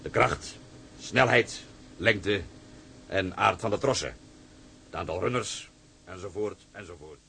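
An actor playing a stern convoy captain in a drama, formal, businesslike and commanding. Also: male voice, deep voice, unhurried, creaky, pauses often, sharp tone, slight background noise, heavily acted. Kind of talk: dramatic dialogue.

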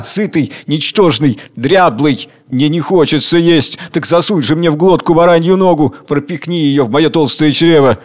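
A man voicing a furious, raving tyrant king of hunger, declaiming and commanding loudly. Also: a little high, natural voice.

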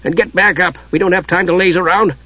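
A man voicing a gruff old dwarf, easily exasperated, in a growling, spluttering audiobook character voice. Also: sits high, steady tone, fast talker, no echo, muffled recording, voice pushed up.

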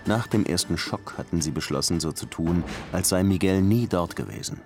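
Somber detective narrator for crime radio drama: very low, measured, factual, over prominent music. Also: male voice, darkish tone. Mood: matter-of-fact. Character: male police detective recounting a murder case, somber. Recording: music under the voice.

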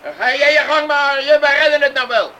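Gruff farmer father, high-pitched barking. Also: fast talker, pushed and bright. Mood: gruff, commanding. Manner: brusque, scolding, commanding. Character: gruff, domineering farmer father, quick to bark orders. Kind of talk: Dutch radio drama acting. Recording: distant, faintly noisy radio drama recording.

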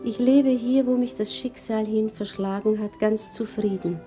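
A woman's voice: mid-range voice, fairly even intonation, moderate pace, music in the background, close to an everyday voice. An actress playing a yearning, impulsive widowed mother in a radio play, musing intimately to herself. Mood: hopeful, careful. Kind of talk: 1960s German radio play acting.

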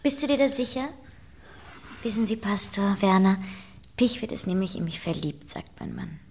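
An actress playing a gentle, wistful lady of the house in a literary radio play, soft and composed. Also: mid-range voice, lively intonation, normal speed, a little hiss or hum, close to an everyday voice. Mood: gentle reproach. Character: dreamy, gentle lady, wife of an ailing aristocrat.

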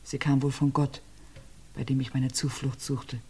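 Tired widow in a radio crime play, very low voice, halting, drops almost to a whisper. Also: female voice, level tone, mellow, breathy edge, exaggerated voice. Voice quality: low, subdued, often drops to a near whisper. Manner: hesitant, halting answers. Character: abused widow questioned about her husband's death. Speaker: widow under questioning.

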